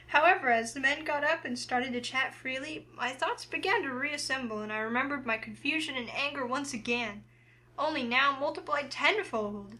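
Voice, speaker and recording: A woman's voice: high voice, moderate pace, poor audio. A woman playing a feisty, easily flustered young heroine in a visual novel, speaking expressively. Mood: breathless, reflective. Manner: expressive, indignant when provoked. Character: feisty, flustered young woman narrating her own romance.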